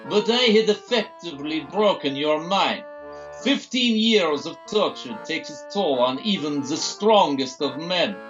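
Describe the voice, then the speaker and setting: A pompous, swooping villain doctor. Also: male voice, fairly high pitch, muffled recording. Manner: pompous, taunting, deliberate. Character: gloating male villain doctor, smug, theatrical tormentor. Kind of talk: audio drama villain monologue.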